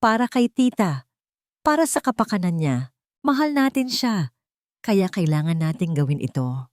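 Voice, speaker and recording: A woman voicing a scheming young fiancée in a story, sweetly manipulative, soft, coaxing and insinuating. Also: mid-range voice, very animated, moderate pace, no echo, clean studio sound, natural voice.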